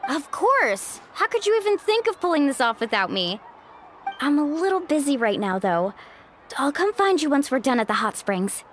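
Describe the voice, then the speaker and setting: A woman's voice: high voice, sing-song, moderate pace, a little hiss or hum. A woman playing a cheerful, outgoing young guide in a video game, with a chatty, playful manner. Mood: upbeat, friendly. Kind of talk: English video game voice acting.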